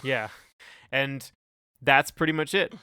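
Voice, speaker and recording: A man's voice: mid-range voice, slow, pushed and bright, clean tone, dry recording, studio quality. A man co-hosting a podcast in casual chat, talking in a hesitant, rambling way full of hedges. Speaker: podcast co-host.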